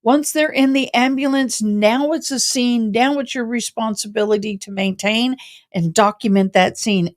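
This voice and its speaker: Woman host from tennessee, fairly high voice, chatty, blunt, laughs a lot. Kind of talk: casual live-stream chat.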